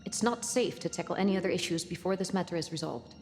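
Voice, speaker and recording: An actress playing a serious, composed spaceship officer in a video game, speaking in a measured, formal way. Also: female voice, lowish voice, lightly acted. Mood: formal, tense. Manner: measured, formal, matter-of-fact. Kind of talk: cinematic video game acting. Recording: reverberant room, music underneath.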